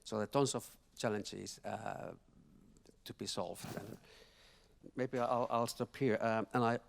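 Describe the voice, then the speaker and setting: A man speaking as a panelist at a conference workshop, talking haltingly with many repeated words and restarts. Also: lowish voice, steady tone, vocal fry. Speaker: panelist from a finance institution. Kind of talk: panel discussion remarks. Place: conference hall.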